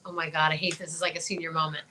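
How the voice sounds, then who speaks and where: A middle-aged American woman co-hosting a talk show in casual, expressive chat full of exclamations. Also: deep voice, rapid-fire, slight background noise.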